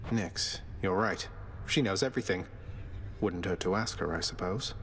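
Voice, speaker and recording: Deep-voiced wry prince. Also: male voice, moderate pace, fairly bright tone, slight background noise. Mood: wry, earnest. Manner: polite, dry, casual. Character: young rebellious underworld prince, wry and kindly. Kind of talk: video game character dialogue.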